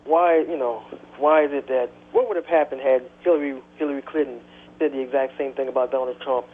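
A man's voice: medium pitch, steady tone, fairly quick, phone-quality sound. A male caller to a radio show who speaks indignantly, with hesitant starts and repeated words. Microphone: phone line.

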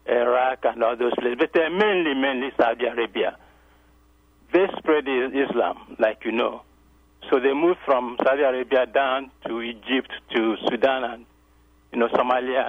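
This caller talks in halting bursts, full of ums and restarts, then pushes his point with rising insistence. His voice is dry and close, squeezed through a tinny phone line, at an ordinary middle pitch. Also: no echo. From Nigeria. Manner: hesitant, many fillers, grows insistent. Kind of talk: radio call-in.

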